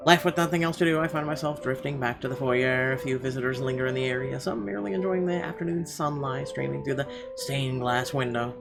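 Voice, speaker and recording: A man reads story narration aloud in a creaky, gravelly voice of middling pitch. His delivery is halting and stumbles now and then, with clear guitar music playing behind him. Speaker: gameplay video host. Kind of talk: reading visual novel narration aloud.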